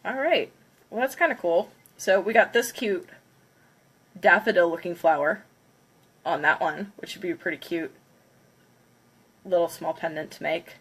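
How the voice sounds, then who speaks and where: A woman's voice: fairly low pitch, normal speed, pauses often, a bit bright, husky. A congested woman chatting casually on a livestream, counting down before smashing plates. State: congested from a cold. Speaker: craft livestreamer.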